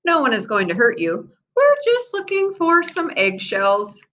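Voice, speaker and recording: An eager young boy from a children's storybook, voiced by a woman reading aloud. The pitch is lifted above an ordinary adult female speaking voice, and the manner is excited, curious and questioning. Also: unhurried, dull audio, heavily acted. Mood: reassuring. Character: curious, eager young boys on an egg hunt.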